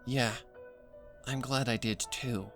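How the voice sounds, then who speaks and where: Gentle young pony mare, acted by a man, sincere, soft-spoken, bright tone, music underneath, storybook reading. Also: mid-range voice, steady tone, slow pace. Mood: reflective, regretful. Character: gentle, earnest young mare, caring sister. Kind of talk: fan fiction audio reading.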